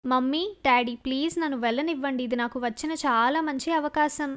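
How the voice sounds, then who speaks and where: A woman's voice: sits high, brisk, clear, resonant tone, natural voice. A woman voicing a jealous, spiteful young woman in a cartoon story. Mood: pleading.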